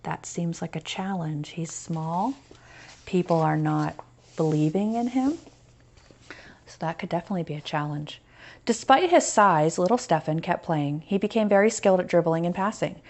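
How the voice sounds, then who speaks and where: Lower-voiced American woman teacher reading aloud. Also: moderate pace, darkish tone. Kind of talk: children's read-aloud lesson with asides.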